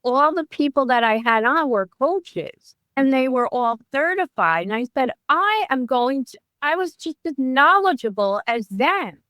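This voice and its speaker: A woman's voice: high-pitched, lively intonation, a little slow, hardly any pauses, a bit bright, no room sound. A woman in her fifties, a wellness coach talking as a guest in a podcast interview, chatty and often restarting her sentences. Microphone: remote call.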